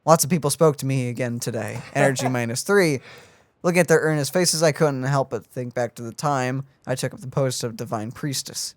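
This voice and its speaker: A man's voice: mid-range voice, brisk, no room sound. Young man reading game text aloud. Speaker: gaming streamer. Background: video game music.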